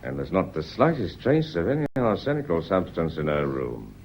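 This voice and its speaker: An actor playing a shrewd police inspector in a radio drama, speaking deliberately and courteously while he probes. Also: sits low, very animated, darkish tone, slight background noise. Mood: firm, insistent. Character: shrewd, politely relentless male police inspector. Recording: dull, muffled old radio recording.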